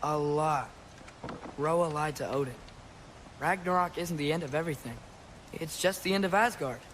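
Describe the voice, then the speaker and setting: A man's voice: fairly high pitch, normal speed, a bit bright, slight background noise, close to an everyday voice. A young man playing an eager, hopeful teenage boy hero in a video game, talking in an earnest, casual, youthful way. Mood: matter-of-fact. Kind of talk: dramatic video game acting.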